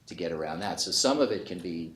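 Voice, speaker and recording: A man's voice: low-pitched, animated, quick pace. An older man, an economist giving emphatic, argumentative, unscripted remarks on a panel, often qualifying his own points. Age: 50s or 60s. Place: conference panel before an audience. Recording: echoey room with faint noise.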